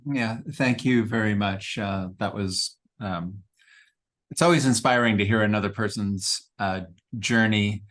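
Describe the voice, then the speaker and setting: A man moderating an online Q&A over a video call, speaking slowly and haltingly with many pauses. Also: fairly low pitch, fairly even intonation, a bit bright. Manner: hesitant, many ums and pauses, slow. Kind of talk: hosting a Q&A.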